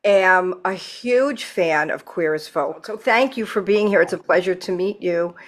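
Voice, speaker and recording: A woman's voice: lowish voice, a little slow. A woman hosting a podcast over a video call, chatting casually and gushing with enthusiasm. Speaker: podcast host. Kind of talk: casual interview chat.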